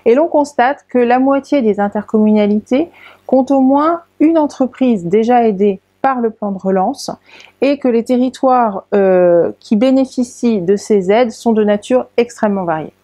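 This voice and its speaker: French female presenter, warm dark timbre, close dry sound, orderly explanatory delivery. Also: on the high side, moderate pace, no echo. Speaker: presenter of an informational tutorial.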